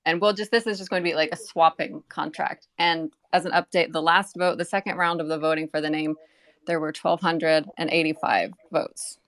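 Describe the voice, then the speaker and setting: A woman with a gravelly, creaky edge and a fairly low voice speaks as a project representative. She clarifies details informally in a casual group interview. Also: steady tone, moderate pace, fairly bright tone.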